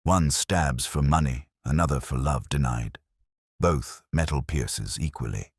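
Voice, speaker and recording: A man voicing a tranquil, shrewd police inspector in an audiobook mystery, speaking gently and with measured kindness. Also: very deep voice, breathy edge, no room sound, clean studio sound, exaggerated voice. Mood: calm.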